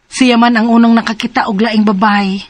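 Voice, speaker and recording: A woman's voice: a little high, level tone, normal speed, lightly acted. A woman acting a troubled separated mother who is pregnant and wants to remarry, in Cebuano-accented radio drama. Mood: casual, defensive. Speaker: radio drama lead and letter narrator. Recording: music playing behind the voice.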